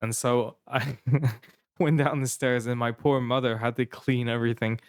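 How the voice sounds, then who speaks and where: A Taiwanese-accented man in his thirties spins a rambling anecdote, full of restarts and little laughs. His voice sits at an ordinary middle pitch. The recording is dry and close, with no room sound. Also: level tone, a little slow, no room sound. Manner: rambling, many restarts and fillers, laughing while telling. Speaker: podcast co-host. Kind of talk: casual storytelling.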